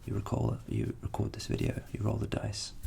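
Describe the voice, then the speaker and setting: A young man who grew up in England with Ukrainian as his first language, whispering softly as an ASMR host answering viewer questions and thinking aloud. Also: fairly low pitch, very animated, fairly quick, creaky, noisy background. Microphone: studio USB microphone.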